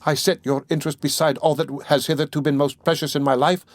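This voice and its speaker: A man's voice: mid-range voice, expressive, normal speed, dry recording, studio quality, voice pushed up, lightly acted. A man reading aloud, voicing an earnest, spirited young woman who pleads with feeling. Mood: indignant. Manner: impassioned, pleading.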